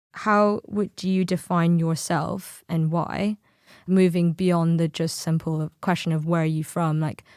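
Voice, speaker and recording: A woman hosting a podcast interview, asking gentle and curious questions. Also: low-pitched, no room sound, clean studio sound. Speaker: podcast host. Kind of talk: conversational interview.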